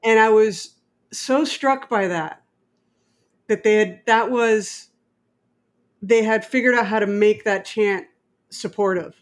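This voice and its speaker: A middle-aged American woman speaks at a medium pitch in a conversational, storytelling way. She is emphatic and openly opinionated as she makes her points. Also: slow pace, long pauses. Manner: emphatic, opinionated, quotes others in dialogue. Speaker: independent filmmaker and interviewee.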